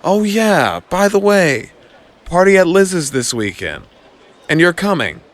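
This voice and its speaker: A man's voice: sits high, sing-song, unhurried, pitched above the performer's own voice. A man voicing a teasing, self-assured young college woman in an audio drama, with a dry, breezy manner. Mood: breezy, insistent.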